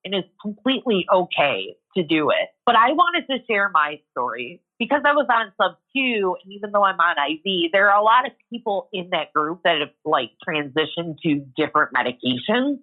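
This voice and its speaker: A woman's voice: medium pitch, big pitch swings, normal speed, hardly any pauses. A woman speaking frankly about her own life, sharing her story as a patient in a reflective testimony. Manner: frank, tells it like it is. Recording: muffled phone-line audio.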